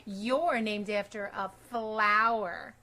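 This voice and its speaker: A woman's voice: mid-range voice, fairly even intonation, bright, forceful tone. A woman voicing a snooty know-it-all little girl in a children's storybook, smugly stretching out her words. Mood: smug, taunting. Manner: emphatic, smug, drawn-out words. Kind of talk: children's storybook read-aloud.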